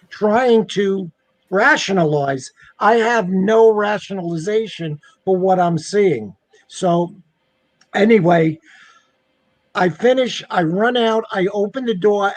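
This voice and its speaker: A man's voice: high voice, dry recording. A man telling a personal anecdote in a casual, conversational way, acting out what people said. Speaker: guest telling a personal ghost story.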